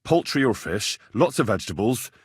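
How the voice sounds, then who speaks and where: A young medieval vagabond posing as a learned healer in a video game. His voice is slightly low and husky, with very animated pitch. He speaks with earnest, deferential formality, close and dry. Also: no echo, exaggerated voice. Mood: humble, earnest. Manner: deferential, measured, formal address. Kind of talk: scripted video game dialogue.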